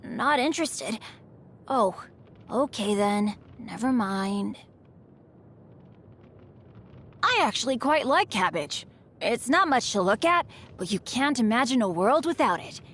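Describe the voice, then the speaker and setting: Woman acting as an eager, high-voiced boy adventurer. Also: big pitch swings, moderate pace, fairly bright tone, a little hiss or hum. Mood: earnest. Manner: eager, boyish, earnest. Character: upbeat, unlucky teenage boy adventurer. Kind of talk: video game character voice lines. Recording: studio voice over background music.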